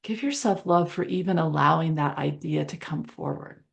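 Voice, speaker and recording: A woman leading a guided meditation in a soothing, conversational way, speaking in short phrases with pauses between them. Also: deep voice, gravelly, dull audio. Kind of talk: guided meditation, gentle improvised visualization.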